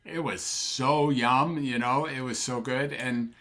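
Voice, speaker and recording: A man's voice: mid-range voice, steady tone, takes it slowly, a bit bright. Rambling, upbeat older man. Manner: rambling, enthusiastic, many fillers and restarts. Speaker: podcast guest, psychiatrist. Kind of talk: casual interview chat. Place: Sacramento, USA.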